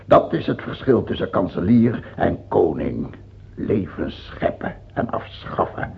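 A man's voice: sits low, sing-song, unhurried, gravelly, slightly rough, exaggerated voice. An actor playing a scheming, imperious aging French king in a radio play, theatrical, moving between murmured musing and scornful outbursts. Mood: brooding passion. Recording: dull, muffled old radio recording.

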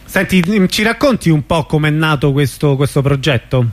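Higher-pitched male radio host. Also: animated, moderate pace. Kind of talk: informal radio interview.

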